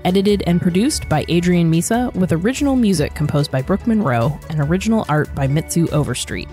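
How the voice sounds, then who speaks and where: A woman's voice: lowish voice, animated, brisk, warm tone. A woman announcer reading scripted podcast credits. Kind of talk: scripted outro narration. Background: music.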